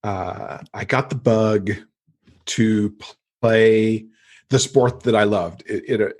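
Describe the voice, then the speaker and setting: A man's voice: lowish voice, breathy edge. A middle-aged American man from Michigan chatting casually as a guest in an interview. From Michigan, United States. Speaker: podcast guest, romance author.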